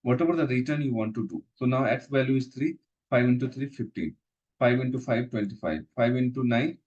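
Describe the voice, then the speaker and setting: Man, programming instructor, halting, repeats himself, medium pitch, dull lo-fi recording. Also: a little mellow. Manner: halting, repeats phrases, many fillers. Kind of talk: live coding lesson walkthrough.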